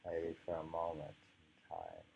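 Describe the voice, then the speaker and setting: Slow, gravelly low-voiced man reading poetry. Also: sing-song, a little mellow, a little hiss or hum. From United States. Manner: musing, halting, unhurried. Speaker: poetry reader. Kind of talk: informal poetry reading with off-the-cuff commentary. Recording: dull lo-fi home recording.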